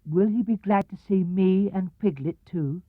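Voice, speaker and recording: A man voicing a gentle, slow-witted, humble bear in a children's storybook, simple and mild. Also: high-pitched, no room sound. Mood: mild, doleful. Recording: muffled 1970s cassette-era recording.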